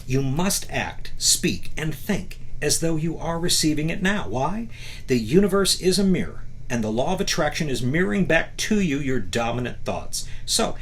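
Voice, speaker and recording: A man with a slightly high voice speaks as a motivational teacher, moving between reading aloud and explaining. He stresses key phrases and tosses out rhetorical questions to check that listeners follow. There is faint noise behind him. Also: fairly bright tone. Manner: rhetorical questions and frequent "right?" check-ins. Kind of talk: motivational talk mixing book reading and commentary. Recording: clear background noise.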